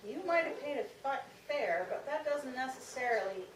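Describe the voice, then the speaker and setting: A woman acts out a gruff older transit man from a storybook, folksy and easily flustered. The voice is raised and exclamatory, caught from far off in an echoey room. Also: sits high, animated, moderate pace, crowd noise, voice pushed up. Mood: hesitant, explaining.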